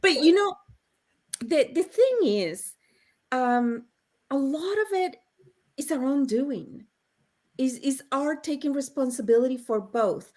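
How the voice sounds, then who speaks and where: A woman's voice: high voice, animated, slow pace, pauses often, a little mellow. A woman hosting a casual panel chat on a video call, telling stories with frequent laughs. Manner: chatty, laughing storyteller. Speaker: host.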